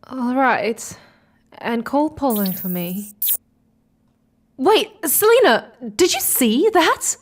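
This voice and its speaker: An inquisitive female space explorer with a high, very lively voice. She takes her time over her lines in a hesitant, questioning way, and has an excitable temper suited to sci-fi audio drama. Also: slow pace, pauses often, exaggerated voice. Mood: puzzled, then urgent, excited.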